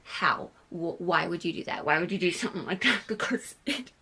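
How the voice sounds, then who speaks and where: A woman's voice: fairly low pitch, expressive, brisk, sharp tone, husky. A woman hosting a sewing vlog, talking in an informal, candid and self-deprecating way as she chats and narrates her project. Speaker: sewing vlogger and host.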